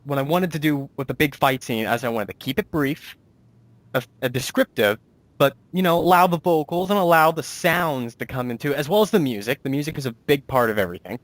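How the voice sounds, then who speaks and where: A man's voice: mid-range voice, brisk, no echo. A man hosting a casual interview as a writer and producer, talking in a rambling, self-deprecating way.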